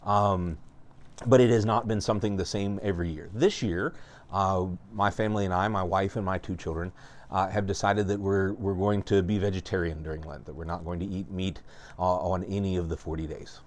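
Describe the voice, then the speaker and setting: A man's voice: sits low, fairly even intonation, brisk. A married father speaking earnestly in an interview, with frequent fillers.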